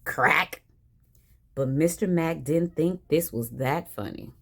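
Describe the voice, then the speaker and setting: A woman reading a children's picture book aloud in an expressive style with dramatic emphasis. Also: low-pitched, a little slow. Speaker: storybook reader. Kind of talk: animated children's read-aloud.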